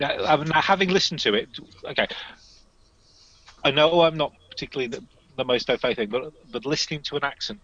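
A man's voice: medium pitch, a bit bright, no room sound, highs cut off. A man playing a tabletop game, rambling and correcting himself as he talks. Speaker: player.

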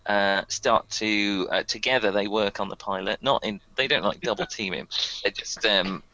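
A man's voice: lowish voice, moderate pace, sharp tone, dull audio. A man narrating as game master for a tabletop game, rambling with many fillers and chuckles.

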